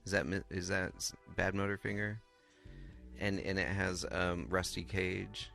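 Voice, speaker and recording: A man from Washington State rambling casually about his musical background, halting and loose. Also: low voice, flat delivery, gravelly, pushed and bright, soft background music. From Washington State, USA. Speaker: band member. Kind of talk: casual interview.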